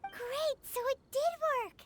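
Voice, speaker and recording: A woman voicing a small, excitable, blunt fairy-like girl companion in a video game, with a squeaky childlike voice. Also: measured pace, background noise. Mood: eager, chatty. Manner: refers to self in third person. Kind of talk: video game character acting.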